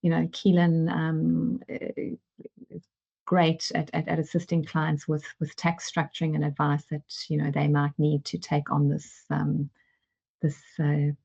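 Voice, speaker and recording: A woman's voice: sits low, completely flat delivery, a little slow, vocal fry, warm tone, husky, muffled recording. A woman from South Africa speaking as an advisor in a webinar discussion, hesitant, with many fillers and repeated words. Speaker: managing director at an advisory firm.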